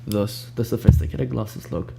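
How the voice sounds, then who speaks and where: A young man improvising a soft-spoken ASMR roleplay as a cool, ominous recruiter, talking in a casual, blunt, unhurried way over hiss and hum. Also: lowish voice, vocal fry, loud music behind the voice. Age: young adult. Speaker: ASMR roleplayer playing a job interviewer. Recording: audible background hiss and hum.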